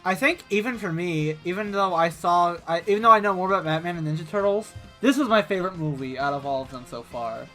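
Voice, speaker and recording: A man co-hosting a casual podcast, lively and stumbling over his words at times. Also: high-pitched, fast, few pauses, faint music behind. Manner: lively, hesitant restarts, swears casually. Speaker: podcast co-host. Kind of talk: casual chat.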